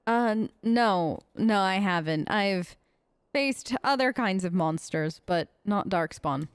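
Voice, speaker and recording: A woman's voice: mid-range voice, expressive, slow pace, clean tone, no echo. A woman giving casual, wry gaming commentary as a let's play host, chatting in character on a close mic.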